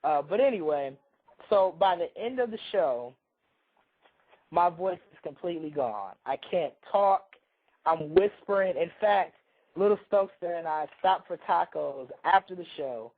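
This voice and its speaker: A hoarse man chats casually on a talk show, rambling through a story and often restarting his sentences. His voice sits a little high for a man. The sound comes through a thin, phone-like line. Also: lively intonation, unhurried. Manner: rambling, self-interrupting storyteller. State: hoarse from a cold. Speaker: radio show co-host.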